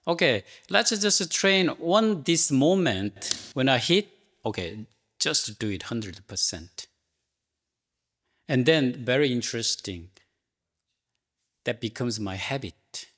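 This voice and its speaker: A man giving a Zen talk and answering a student's question in halting English, with many restarts and fillers. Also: medium pitch. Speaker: Zen teacher. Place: meditation hall.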